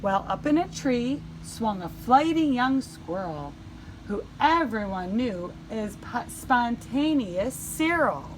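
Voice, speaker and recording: A woman reading a children's storybook aloud in an animated, dramatic way with deliberate emphasis. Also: on the high side, a little hiss or hum, low-quality recording. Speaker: teacher reading a picture book.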